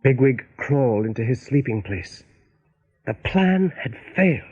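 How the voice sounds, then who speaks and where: A man narrating a radio play as a dramatic storyteller, with a husky, mellow voice. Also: medium pitch, lively intonation, a little slow, over loud music. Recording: dull, muffled radio recording.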